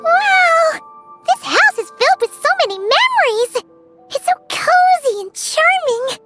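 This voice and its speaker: A woman voicing a tiny, cute, excitable fairy companion in a video game, with a squeaky childlike voice and eager delivery. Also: soft background music, exaggerated voice. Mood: delighted. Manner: gushing, eager, drawn-out. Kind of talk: scripted video game dialogue.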